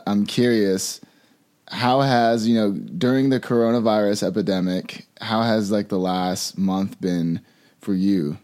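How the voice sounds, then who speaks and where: Slangy podcast host man, low-ish voice. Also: darkish tone. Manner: friendly slangy, calls guest bro. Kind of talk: casual interview chat.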